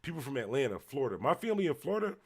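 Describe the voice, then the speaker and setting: A man from Buffalo with a Western New York accent, a little tipsy, rambling loosely as he hosts a late-night livestream and shouts out viewers. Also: mid-range voice, big pitch swings, rapid-fire, slightly rough. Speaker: livestream host. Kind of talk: casual livestream chat reading comments.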